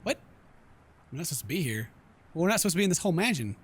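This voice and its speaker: A man doing excitable, jokey let's play game commentary, exclaiming and mimicking the characters. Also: a little high, rapid-fire, breathy edge. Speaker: gaming YouTuber, let's play host.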